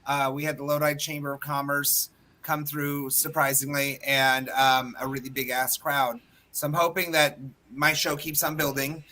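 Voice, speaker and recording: A man with a raspy, hoarse voice, chatting casually as podcast co-host and drag performer, self-mocking with quick asides. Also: on the high side, flat delivery, bright, forceful tone. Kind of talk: casual chat.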